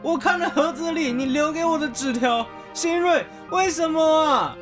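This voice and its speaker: A man's voice: extremely high pitch, moderate pace. A man playing an anguished young lover in a radio drama, urgent and pleading. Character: anguished young man desperate over his lost love. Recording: prominent music behind the voice.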